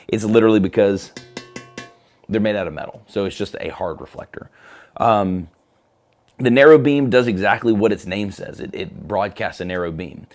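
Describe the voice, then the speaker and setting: Hoarse, low-voiced man demoing studio gear. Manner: relaxed, chatty, with frequent fillers. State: hoarse, scratchy throat. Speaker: company product presenter. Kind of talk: casual live product demo. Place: photo studio.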